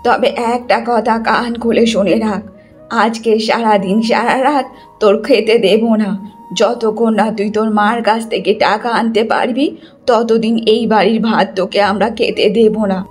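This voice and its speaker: A woman's voice: medium pitch, steady tone, moderate pace, faint music behind, lightly acted. A woman voicing a harsh, greedy, middle-aged mother-in-law in a cartoon moral story, scolding and contemptuous. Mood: threatening.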